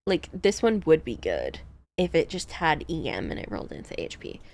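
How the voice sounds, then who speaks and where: A woman's voice: low voice, huge pitch swings, normal speed, vocal fry, a little mellow. A woman streamer chatting casually on a livestream, thinking aloud about game builds and laughing often.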